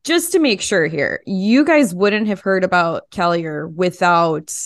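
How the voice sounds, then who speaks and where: A woman's voice: on the low side, lively intonation, measured pace. A woman hosting a podcast in casual, emphatic, stop-start conversation. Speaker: podcast host. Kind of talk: casual interview chat.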